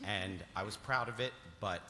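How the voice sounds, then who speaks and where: A man's voice: lowish voice, moderate pace, pushed and bright. A man hosting a live script read as its writer, making stage remarks and reading out directions. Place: large hall, live on stage. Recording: echoey hall, clear background noise.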